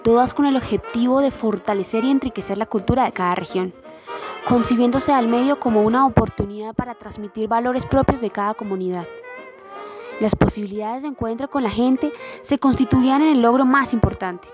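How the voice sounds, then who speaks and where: High-voiced woman narrator over loud music. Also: fairly quick. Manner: formal, reading from a script. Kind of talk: scripted documentary narration.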